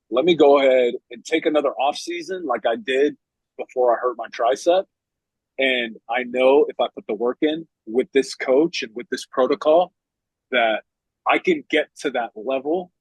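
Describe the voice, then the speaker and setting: Husky man in his thirties, rambling. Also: medium pitch, no room sound, muffled recording. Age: 30s. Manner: loose and rambling, heavy "like" fillers. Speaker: competitive men's physique athlete. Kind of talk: casual podcast chat.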